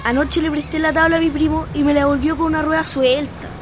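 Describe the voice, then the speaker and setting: A high-voiced performer playing an easygoing, joking young skateboarder with a Chilean accent in a radio drama. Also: level tone, fast talker, background noise, natural voice. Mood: casual.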